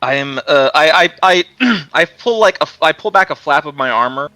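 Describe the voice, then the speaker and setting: A man playing a tabletop game, talking casually and restarting his sentences. His voice is bright and a little high. It sounds dry and close, as through a headset on a voice call. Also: animated, normal speed, no room sound. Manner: casual, many fillers and restarts. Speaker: player. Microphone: headset over a voice call.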